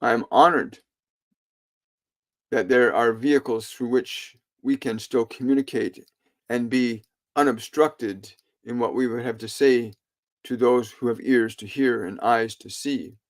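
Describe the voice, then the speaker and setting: Slow, deliberate man delivering a spiritual message. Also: mid-range voice. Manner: deliberate, halting short phrases with frequent pauses; formal, archaic, oratorical phrasing. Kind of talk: solemn spiritual sermon monologue.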